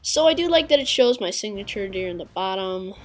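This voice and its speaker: A woman giving casual, halting gameplay commentary with a congested, sniffly voice and many filler pauses. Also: medium pitch, expressive. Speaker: gaming video creator.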